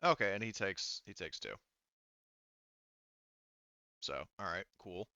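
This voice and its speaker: Bright, low-pitched man running a game. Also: sing-song, slow, dry recording, highs cut off. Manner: informal, thinking aloud. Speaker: game master. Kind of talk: casual tabletop game refereeing.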